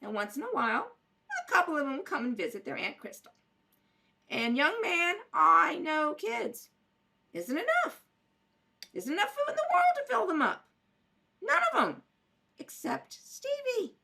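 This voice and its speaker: A bright, swooping female voice acted by a woman as a nosy neighbor in an amateur radio play. She is fussy, folksy and insistent, speaking in homespun bad grammar. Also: very high voice, huge pitch swings, unhurried, vocal fry, pauses often, heavily acted. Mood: earnest, concerned. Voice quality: folksy, ungrammatical speech. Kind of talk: amateur radio drama read-through.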